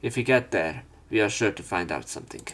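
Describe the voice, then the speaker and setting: A man's voice: fairly low pitch, animated, a little fast, a bit bright. A man reading a visual novel aloud as a let's play narrator, dramatizing the script. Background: background music.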